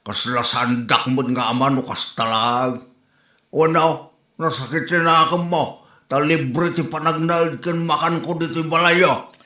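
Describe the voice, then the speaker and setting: A man's voice: on the high side, fairly even intonation, a little slow, sharp tone. A man playing a stubborn, touchy old father in a comedy drama, protesting and whining. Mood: sulky. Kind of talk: Ilocano comedy radio-style drama. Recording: roomy echo on the voice.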